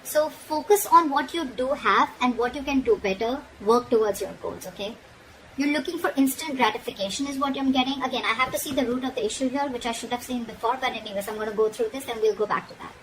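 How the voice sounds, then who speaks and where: High-voiced woman giving a tarot reading. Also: fast talker, poor audio. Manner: frank, admonishing, often checks in with "okay?". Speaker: tarot reader. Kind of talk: informal tarot reading addressed to the viewer. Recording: noticeable background noise.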